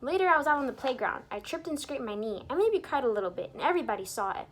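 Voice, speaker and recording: A woman reading a picture book aloud to young children in an expressive, teacherly way, pausing to explain things and put questions to the listeners. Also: high voice. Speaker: children's storybook reader and teacher. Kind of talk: animated read-aloud for young children with asides explaining words.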